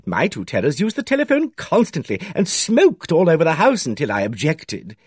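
A man reading aloud, voicing an effusive, fussy older male village gossip who talks in a theatrical, drawn-out way. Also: a little high, huge pitch swings, breathy edge, no echo, clean studio sound, voice pushed up, exaggerated voice. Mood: gushing.

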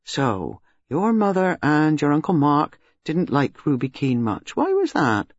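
A man's voice: high-pitched, sing-song, measured pace, a little mellow, no room sound, heavily acted. A man voicing a benign, hearty police superintendent who speaks steadily. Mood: calm. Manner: hearty, then grim. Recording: dull, dry lo-fi recording.

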